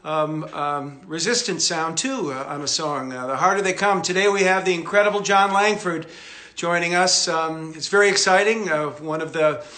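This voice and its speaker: A man in his sixties hosting a livestream from a cluttered room at home, speaking earnestly and haltingly with many fillers. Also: fairly high pitch, bright, forceful tone. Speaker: host. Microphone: phone camera.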